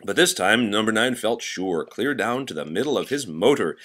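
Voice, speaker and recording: Animated low-voiced man reading a storybook. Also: normal speed. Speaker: storybook reader. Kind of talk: expressive children's read-aloud narration.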